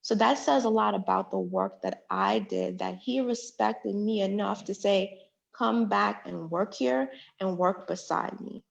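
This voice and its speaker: A woman's voice: medium pitch, measured pace, creaky. A woman from Queens, a public defender running for judge, answering radio interview questions earnestly and building her points through repeated phrases. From Jamaica, Queens, New York. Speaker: judicial candidate and public defender. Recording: dull lo-fi radio sound.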